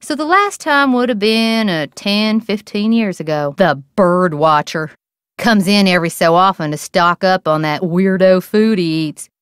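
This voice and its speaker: A woman's voice: mid-range voice, measured pace, clean tone, no echo, clean studio sound, natural voice. A woman voicing a gossipy small-town bait shop keeper in a video game, with a rural American accent and a wry, opinionated, drawling manner. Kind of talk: video game character dialogue.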